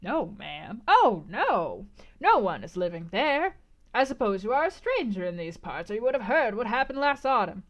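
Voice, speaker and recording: A woman voicing a respectable middle-aged innkeeper, a former butler who is deferential, excitable and confiding, in a storybook reading. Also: on the high side, normal speed, muffled recording. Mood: eager.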